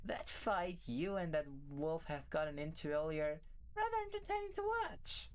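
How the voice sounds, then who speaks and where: A man's voice: fairly high pitch, sing-song, normal speed, clear, resonant tone. A man voicing a prim, smug, gossipy animal schoolmate in a visual novel, speaking in a formal, insinuating singsong. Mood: smug, chipper.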